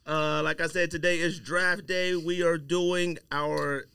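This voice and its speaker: A man's voice: a little high, flat delivery, a little slow, creaky, sharp tone, clean tone, no echo, studio quality. A man hosting a podcast in casual, slangy banter, talking boastfully and with lots of animation. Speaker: podcast host. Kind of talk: casual sports and sneaker banter.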